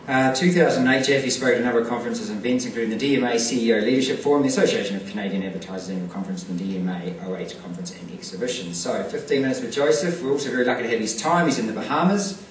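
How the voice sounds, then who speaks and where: A man introducing a guest speaker at an event, with a halting delivery full of fillers. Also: medium pitch. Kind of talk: formal introduction. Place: conference hall. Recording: echoey hall, distant mic, faint noise.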